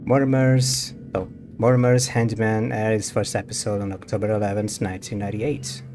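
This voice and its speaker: A man's voice: fairly low pitch, little pitch movement, brisk. A man giving casual gameplay commentary as a streamer, musing to himself and reading text aloud. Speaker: game streamer. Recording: music behind the voice.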